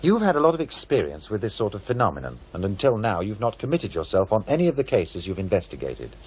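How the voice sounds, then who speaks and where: A man's voice: fairly low pitch, wildly swooping pitch, fast, a little mellow. A man playing a serious documentary presenter in an audio drama, portentous and probing in his delivery. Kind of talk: dramatised documentary narration and interview. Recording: faint background noise.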